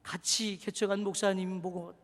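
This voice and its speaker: A man preaching in a strikingly high, bright, forceful voice. He leaps from fervent shouts to quiet, confiding asides. The sound rings with the long reverb of a church sanctuary. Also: flat delivery, moderate pace. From Seoul. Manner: dramatic preaching, swinging between shouted exhortation and hushed asides. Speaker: pastor. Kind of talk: sermon.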